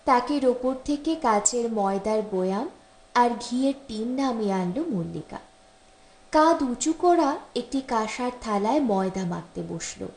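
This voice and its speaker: A woman narrates a literary short story. Her voice sits on the high side, and the recording is very roomy, with clear echo around her expressive reading. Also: measured pace. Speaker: audiobook narrator.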